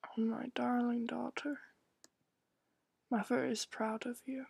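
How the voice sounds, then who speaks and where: A woman voicing a cruel, doting mother in a soft, whispery, slow and condescending way for a visual novel. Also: fairly high pitch, flat delivery, no echo, heavily acted. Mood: tender, proud. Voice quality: breathy, whispery. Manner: slow, condescending, falsely tender.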